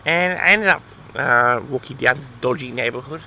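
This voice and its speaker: A man's voice: medium pitch, moderate pace, gravelly, sharp tone, slight background noise, rough recording. A man telling a story casually as host of an audio log, rambling in an awkward, flustered, exasperated way.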